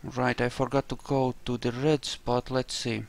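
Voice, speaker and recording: A man's voice: mid-range voice, little pitch movement, unhurried, gravelly. A man giving casual game commentary, chatty and thinking aloud as he plays. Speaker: gamer narrating a playthrough.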